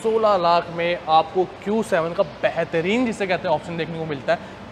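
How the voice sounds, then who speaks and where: Chatty, higher-pitched male vlogger. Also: moderate pace. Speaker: vlog host. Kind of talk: casual Hindi-English vlog presenting. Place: indoor basement car showroom. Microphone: clip-on lapel mic. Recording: faint ambient noise of indoor car park.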